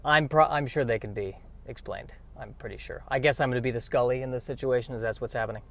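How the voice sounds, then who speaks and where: Man playing skeptical office temp, dry, halting, reluctant, improvised radio comedy, clear-edged bright tone. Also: medium pitch. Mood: dryly skeptical. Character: reluctant, deadpan male temp secretary, skeptical.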